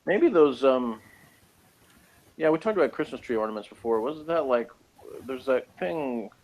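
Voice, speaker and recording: A man's voice: medium pitch, expressive, normal speed, creaky, a little mellow, rough recording. A man chatting informally as co-host of a review, tossing in loose asides. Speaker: co-host of a sci-fi TV episode review. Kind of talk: casual chat and commentary.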